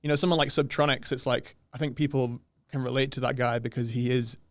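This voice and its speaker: A young man from the UK with a softened English accent, chatting casually as a podcast guest and laughing easily. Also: mid-range voice, fast, dry recording. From United Kingdom. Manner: relaxed, laughs often, swears casually.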